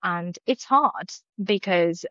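A woman with a Japanese accent, a native Japanese speaker, answering interview questions as an academic in a thoughtful way with many pauses and fillers. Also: on the low side, no room sound, lo-fi sound. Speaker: academic guest.

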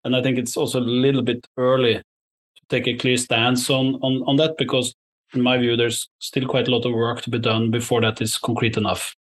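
Measured man giving careful interview answers. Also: mid-range voice, little pitch movement. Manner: measured, diplomatic, careful wording. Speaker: investor, interview guest.

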